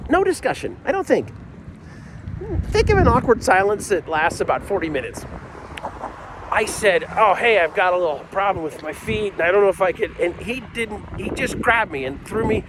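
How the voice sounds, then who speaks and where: A middle-aged American man with a slightly high voice tells a story casually, wandering into self-deprecating comic asides. He is recorded amid clearly audible outdoor noise, with vehicles in the ambience.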